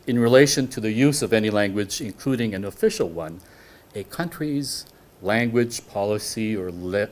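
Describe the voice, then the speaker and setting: A man whose first language is French, giving an academic lecture on law to a hall audience in measured English, often restarting his phrases and putting questions to the listeners. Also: lowish voice. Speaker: guest lecturer.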